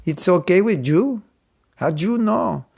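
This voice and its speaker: A man's voice: high-pitched, lively intonation, slow, gravelly, darkish tone, husky, voice pushed up, exaggerated voice. A man voicing a pushy, broke male monk who begs for donations in broken English with a put-on Spanish accent. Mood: wheedling. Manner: wheedling, insistent, broken English.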